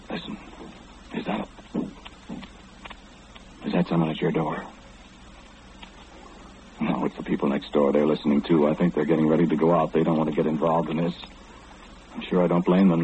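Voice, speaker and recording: A man's voice: low-pitched, creaky, dark tone. An actor in an old-time radio horror play, playing an omniscient, ominous man who confides insistently and questioningly in the listener. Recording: muffled, hissy old radio recording.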